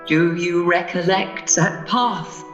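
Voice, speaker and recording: A woman's voice: lowish voice, takes it slowly, reverberant, muffled recording, music in the background. A woman in a radio play playing a solemn, commanding spirit who speaks in a stately, probing manner. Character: solemn, commanding female spirit guiding a mortal.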